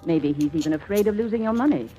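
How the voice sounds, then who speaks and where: An actress playing a blunt, protective older aunt in an old radio drama, forthright and disapproving. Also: female voice, lowish voice, expressive, quick pace, dark tone, lightly acted. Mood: scolding. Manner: forthright, urgent, scolding. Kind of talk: 1950s radio drama acting. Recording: old radio recording, faint music behind.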